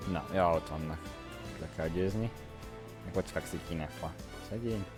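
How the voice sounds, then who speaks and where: Low-voiced Hungarian man streaming a game. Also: moderate pace. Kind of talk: casual playthrough commentary. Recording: game music behind the voice. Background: game music.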